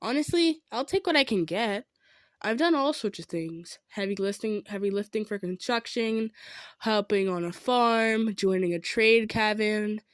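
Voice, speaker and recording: A woman playing a kind, modest female centaur in an improvised roleplay, speaking gently and earnestly with some stammering. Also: medium pitch, moderate pace, no room sound, lightly acted. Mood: resigned, earnest. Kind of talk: improvised roleplay audio drama, addressed to listener.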